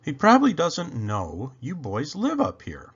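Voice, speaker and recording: A man voicing a kind, gentle mother in a children's storybook. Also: fairly high pitch, wildly swooping pitch, measured pace, breathy edge, dull audio, voice pushed up, exaggerated voice. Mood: explaining gently.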